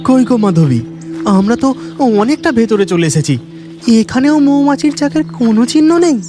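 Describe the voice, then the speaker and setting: A man's voice: very high voice, big pitch swings, brisk, over music. A man playing a village honey collector husband in a cartoon, bold but easily alarmed, with theatrical exclamations. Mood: urging, confident. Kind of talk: dramatic cartoon dialogue.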